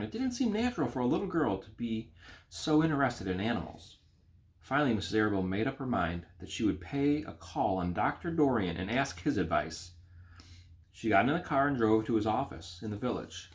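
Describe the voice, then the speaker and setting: A man reading a children's story aloud in an expressive, animated way. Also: medium pitch, fairly quick.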